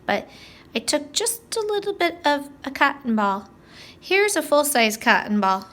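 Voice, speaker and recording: A woman's voice: fairly high pitch, fairly bright tone. A woman teacher leading story time for young children, talking to them directly in a sing-song way with simple questions.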